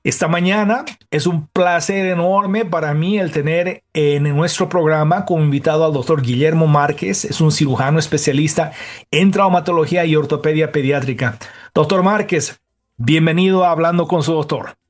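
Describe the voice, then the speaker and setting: A man who is a doctor hosting a health talk program, reading informative material in a measured way before interviewing a guest. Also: fairly high pitch. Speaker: radio show host, doctor.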